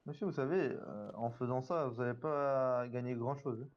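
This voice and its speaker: A man's voice: mid-range voice, normal speed. A man casually role-playing a police instructor online, giving colloquial directions over game voice chat. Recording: dull lo-fi game voice chat, noisy.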